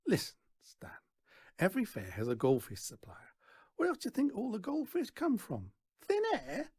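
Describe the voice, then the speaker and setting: A man's voice: high-pitched, huge pitch swings, normal speed, a little mellow, slightly rough, no echo, studio quality, pitched above the performer's own voice, exaggerated voice. A man voicing a folksy, patronising fairground stallholder who mentors a boy in a storybook reading. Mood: exasperated. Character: brisk, exasperated older fairground stallholder mentoring a lad.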